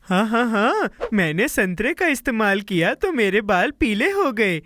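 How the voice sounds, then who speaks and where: A woman voicing an eager, impulsive little boy in a children's cartoon dub, expressive, with drawn-out exclamations. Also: fairly high pitch, dry recording, clean studio sound. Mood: dismayed.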